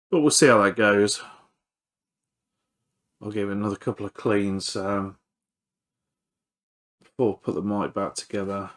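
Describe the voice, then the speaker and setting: A man's voice: fairly low pitch, fairly bright tone. A man giving casual, hands-on repair commentary as he works, hesitant and thinking aloud. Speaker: electronics repairer presenting a video.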